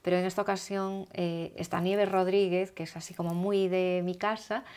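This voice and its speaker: A woman's voice: lowish voice, little pitch movement, normal speed, darkish tone, clear, resonant tone. Chatty woman hosting a gossip show. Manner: chatty, laughs often. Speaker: host. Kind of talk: casual chat on a gossip show.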